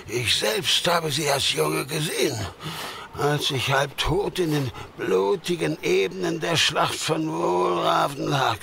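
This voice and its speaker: Creaky, haunted old Norse warrior. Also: male voice, fairly high pitch, pushed and bright, a little hiss or hum, full character voice. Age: older. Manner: solemn, halting storytelling. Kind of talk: audio drama acting.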